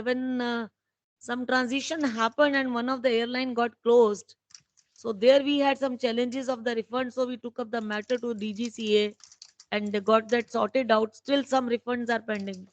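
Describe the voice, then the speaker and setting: An Indian-accented woman speaks in a fairly high-pitched voice. She answers investor questions as a company executive, explaining things plainly and matter-of-factly. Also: steady tone, measured pace, no echo. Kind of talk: investor conference call answers.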